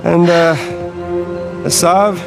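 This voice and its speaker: An actor playing a roguish treasure hunter in a video game, casual, sardonic and joking. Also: male voice, fairly high pitch, flat delivery, extremely slow, gravelly, heavily acted. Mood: wry. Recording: music behind the dialogue.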